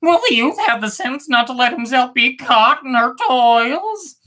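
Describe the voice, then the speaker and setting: A woman's voice: high voice, expressive, measured pace, sharp tone, voice pushed up, full character voice. A woman voicing a knowing bird who gives advice in a fairy tale.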